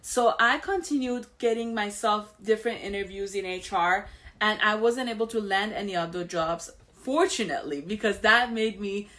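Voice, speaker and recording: A woman's voice: mid-range voice, normal speed, bright, forceful tone. Woman host, 30s, Iranian accent, candid personal monologue. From Iran. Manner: candid, with frequent fillers and restarts.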